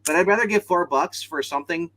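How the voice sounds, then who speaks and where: A man's voice: sits high, fast, sharp tone, low-quality recording. A man chatting casually as co-host of a show. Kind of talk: casual chat.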